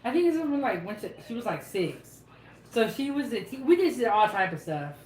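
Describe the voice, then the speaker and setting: A woman's voice: on the high side, sing-song, fairly quick, a little mellow. A woman co-hosting a live cooking stream, chatting casually, reading viewer comments and laughing often. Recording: echoey room.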